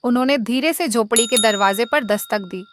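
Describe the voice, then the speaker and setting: A woman's voice: a little high, normal speed, slight background noise. A woman reading a scripted moral tale as a storyteller.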